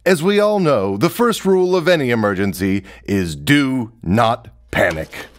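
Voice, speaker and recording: A young man presenting a scripted workplace safety video in a wry, deadpan joking manner, recorded in a large industrial workshop. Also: medium pitch, a little slow, faint music behind. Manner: wry, deadpan jokes between safety tips. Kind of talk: scripted comedic safety narration.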